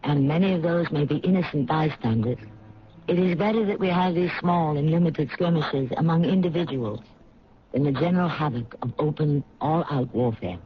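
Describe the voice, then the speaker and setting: A man's voice: a little high, normal speed. A man narrating the closing of a radio drama in a grave, formal way. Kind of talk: solemn scripted narration. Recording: dull old radio recording with faint hiss.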